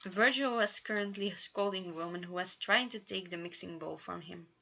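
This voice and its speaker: A woman's voice: on the low side, moderate pace, vocal fry, pushed and bright. A woman reading fan fiction aloud as an amateur narrator, often tripping on words and correcting herself. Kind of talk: story reading with character voices. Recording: dull lo-fi home recording.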